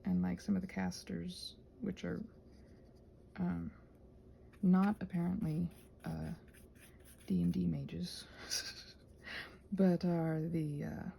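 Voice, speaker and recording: A woman's voice: fairly low pitch, flat delivery, slow, gravelly, long pauses, dark tone, slight background noise. A woman chatting casually in a whimsical, digressive way while she does crafts. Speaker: craft vlogger.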